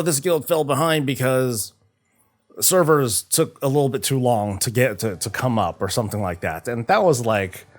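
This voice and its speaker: A man chatting casually on a gaming livestream, halting and often stumbling over repeated words. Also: medium pitch. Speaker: streamer, host of a morning show. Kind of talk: casual livestream chat about games.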